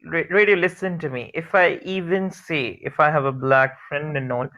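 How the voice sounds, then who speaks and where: A man's voice: a little high, moderate pace. A man from India, joining a casual debate in a voice chat. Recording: dull lo-fi voice chat audio.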